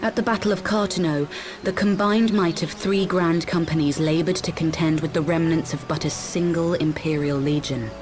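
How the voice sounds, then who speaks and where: An actress playing a calm, dignified woman elder of a fantasy nation, speaking in a formal, measured way in a game cutscene. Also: low-pitched, over music, natural voice. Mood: grave.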